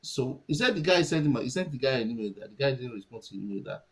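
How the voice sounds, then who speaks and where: A man's voice: medium pitch, lively intonation, quick pace, vocal fry, slightly rough. A man whose first language is Yoruba, giving casual market commentary and thinking aloud with many restarts and fillers.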